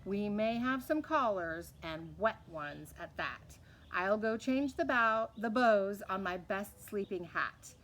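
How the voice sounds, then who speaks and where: A woman's voice: mid-range voice, expressive, takes it slowly, a bit bright. A woman voicing a kindly, shy old eccentric lady from a storybook, chatting in rhyme. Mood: brisk, welcoming. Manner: chatty, rhythmic rhyming speech.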